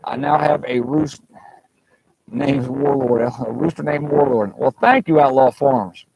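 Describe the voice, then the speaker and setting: A man's voice: medium pitch, a little slow, gravelly, a little mellow, breathy edge, no echo, low-quality recording. A man hosting a casual live stream from a campsite, rambling and joking with viewers over a clip-on mic. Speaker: livestream host. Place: outdoors at a campsite. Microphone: clip-on mic with fuzzy windscreen.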